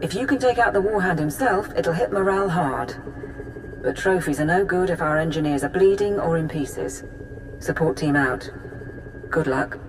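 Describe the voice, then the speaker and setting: A woman's voice: fairly low pitch, expressive, noisy background, lightly acted. An actress playing a dry, pragmatic female military intelligence adviser, giving businesslike briefings in a video game. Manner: briskly factual, dry-witted, businesslike. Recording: game audio under loud music. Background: orchestral game music.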